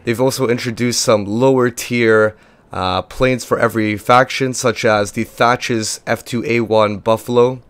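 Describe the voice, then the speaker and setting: A young man with a lost voice and little pitch movement, hosting a casual game walkthrough in a chatty, rambling way. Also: a bit bright. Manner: rambling, chatty, filler-heavy. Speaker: gaming video host.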